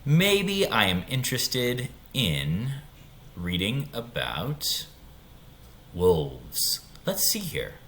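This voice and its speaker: Deep-voiced man giving an animated tutorial. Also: extremely slow, slight background noise. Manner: informal, instructional, addressing young students. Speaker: school tech tutorial presenter. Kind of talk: step-by-step screen tutorial.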